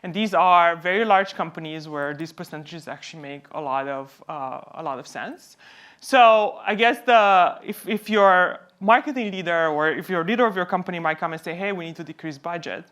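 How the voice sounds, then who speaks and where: Woman doing a conference talk, lowish voice, from home, explains stuff by asking questions then answering. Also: normal speed, fairly bright tone. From Romania. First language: Romanian. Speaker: company co-founder presenting at a conference. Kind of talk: conference presentation.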